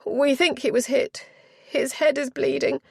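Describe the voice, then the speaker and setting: A woman voicing a frantic middle-aged female chaperone who talks in high, quivering alarm. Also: steady tone, unhurried, gravelly, darkish tone, no echo, clean studio sound.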